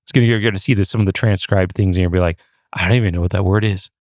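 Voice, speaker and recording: Chatty low-voiced man co-hosting. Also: steady tone, very fast, darkish tone, no room sound, clean studio sound. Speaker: podcast co-host. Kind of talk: casual podcast chat.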